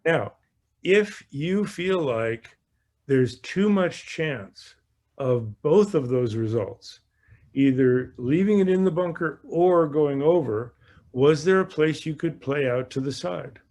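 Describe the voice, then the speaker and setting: A man's voice: on the high side, expressive, slow pace, darkish tone. A man working as a golf coach, explaining things conversationally in a live question session, often repeating phrases as he goes. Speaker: golf coach hosting an online Q&A. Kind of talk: conversational instruction.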